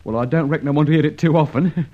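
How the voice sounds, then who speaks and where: A man's voice: fairly high pitch, rapid-fire, close to an everyday voice. An actor playing a jittery, superstitious, simple working-class man in an old-time radio serial, colloquial and prone to exclaiming. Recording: muffled, noisy old radio recording.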